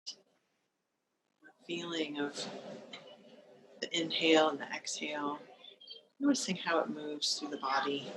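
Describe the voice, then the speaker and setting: Slow-talking woman guiding a meditation. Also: sits low. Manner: calm, gentle, unhurried instruction with long pauses. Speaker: meditation teacher. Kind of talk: guided meditation. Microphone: video call. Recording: noisy live video-call recording.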